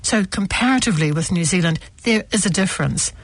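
A woman reading a first-person testimony aloud, measured and earnest, into a close microphone. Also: fairly low pitch, animated, breathy edge, no room sound. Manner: measured, earnest, with retakes of lines.

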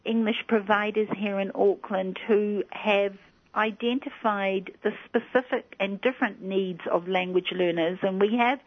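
A woman speaks as a guest on a radio talk show. She explains at a steady, measured pace in a middle-pitched voice. The recording sounds dull and lo-fi, like an old radio broadcast. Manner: measured and explanatory, often saying "you know". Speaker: guest speaking on migrant job-seeking.

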